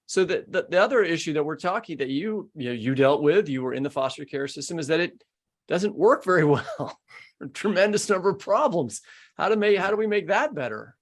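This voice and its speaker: A man's voice: fairly high pitch, animated, brisk. A man hosting a podcast, asking questions in a casual, conversational way. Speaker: podcast host.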